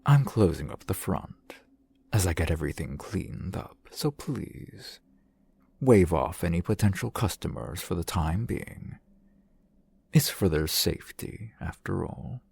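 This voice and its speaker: A man playing a suave, shrewd fantasy shopkeeper in an audio roleplay, speaking in measured, formal, wry phrases with a gravelly, husky voice, close to the mic. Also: low voice, animated, a little mellow, no room sound, full character voice. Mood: calm, authoritative. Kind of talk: fantasy ASMR roleplay acting. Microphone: close microphone, dry sound.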